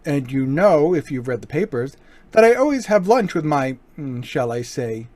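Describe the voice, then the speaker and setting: A man playing a suave, menacing gentleman burglar in an old-time radio crime drama, mock-courteous and taunting. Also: fairly high pitch, very animated, normal speed, dry recording. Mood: teasing, unhurried.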